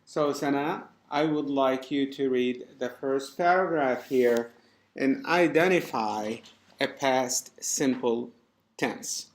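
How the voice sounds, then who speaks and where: A man teaching a class, giving deliberate, encouraging instructions to students in an indoor room. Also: medium pitch. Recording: echoey room.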